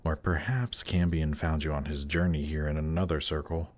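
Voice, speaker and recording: Man playing a very deep, suave demon prince. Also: darkish tone. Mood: soft, coaxing. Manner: polished, courtly, condescending, measured. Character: suave, haughty demon prince ruling a circle of Hell. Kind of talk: scripted fantasy roleplay audio drama.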